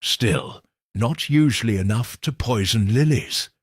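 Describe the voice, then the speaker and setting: A man voicing a gentle, shrewd elderly lady detective in a mild, measured, knowing way for an audiobook. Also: medium pitch, lively intonation, breathy edge, no room sound, clean studio sound. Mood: wry, knowing.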